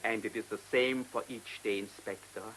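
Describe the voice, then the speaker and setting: An actor in a radio drama playing a cunning, self-assured German man who speaks with deliberate, mocking polish in a German accent. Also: on the low side, fairly even intonation, fairly bright tone, close to an everyday voice, poor audio. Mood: triumphant, smug. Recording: hissy old radio play recording.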